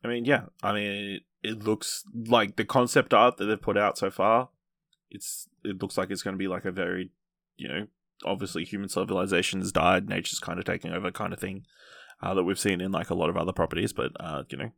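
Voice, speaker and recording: A man's voice: low-pitched, flat delivery, fairly quick, creaky, fairly bright tone, no room sound. A man hosting a podcast, chatting casually about film and reading out news items.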